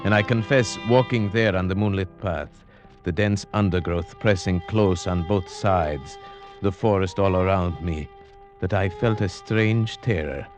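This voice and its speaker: A very low-pitched male hunter recounts his dread in measured, formal phrases. It is vintage radio horror, with prominent music under the suspenseful narration. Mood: brooding suspense. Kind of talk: old-time radio horror drama narration and acting.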